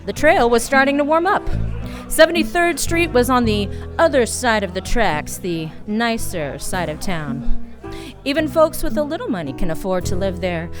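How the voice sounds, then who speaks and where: A woman's voice: fairly high pitch, animated, moderate pace, over music, lightly acted. A woman playing a tough, wry female private detective in a noir radio play, with a hard-boiled, clipped, wisecracking delivery. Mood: wry narration.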